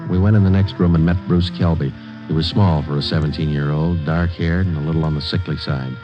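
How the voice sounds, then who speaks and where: A deadpan American police detective from classic radio crime drama. He is low-voiced and clipped, rattling off facts quickly without fuss. The sound is a dull old broadcast with music behind. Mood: flat, factual. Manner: deadpan, terse, matter-of-fact. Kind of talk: 1940s-50s radio drama narration, clipped and factual. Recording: dull vintage radio recording.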